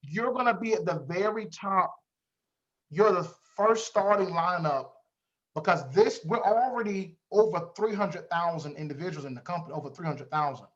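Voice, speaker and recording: High-voiced man hyping a group call. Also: moderate pace, a bit bright. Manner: repetitive, rallying, pushing guests to unmute. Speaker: host of a recruitment call. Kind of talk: hype-driven group call hosting. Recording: dull lo-fi call audio.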